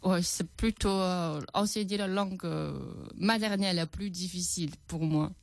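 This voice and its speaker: A woman's voice: on the low side, steady tone, moderate pace, vocal fry, no echo. A Chinese-born woman teacher speaking French with a Chinese accent, hesitant and full of fillers, answering radio interview questions. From China.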